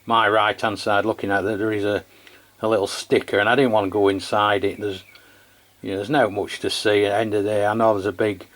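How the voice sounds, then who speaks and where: A man with an English accent chatting casually and informally as a radio hobbyist, in a matter-of-fact way. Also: lowish voice. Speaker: CB radio hobbyist filming a radio test. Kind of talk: casual two-way radio chat.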